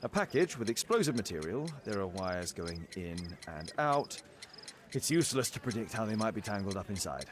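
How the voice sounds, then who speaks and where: An animated, analytical British detective. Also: male voice, on the low side, noisy background. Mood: focused, analytical. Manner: precise, deductive, measured. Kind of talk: dramatic video game dialogue.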